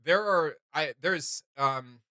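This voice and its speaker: A man co-hosting a casual reaction video, talking in an animated, rambling way and searching for words aloud. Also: a little high, slow, no echo, studio quality. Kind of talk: casual rambling discussion to viewers.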